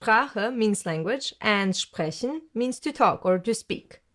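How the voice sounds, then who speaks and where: A woman's voice: on the high side, lively intonation, slow. A woman teaching a recorded language lesson, speaking carefully and repeating each word for learners.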